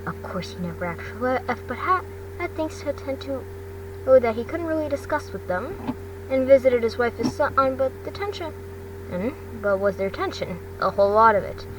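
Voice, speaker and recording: Female amateur storyteller, higher-pitched, halting delivery with repeats, recorded off-mic with clear background noise. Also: expressive. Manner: halting, stumbling, repeats phrases. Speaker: fan fiction narrator. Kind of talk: amateur storytelling read aloud. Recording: distant mic, noisy background hum.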